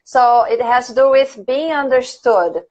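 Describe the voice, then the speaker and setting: A woman's voice: sits high, unhurried, a little mellow. A woman from Brazil with a Brazilian accent, whose first language is Portuguese, leading a casual English conversation class on a livestream. She talks in a chatty way, laughs often and thinks aloud with fillers. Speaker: English teacher.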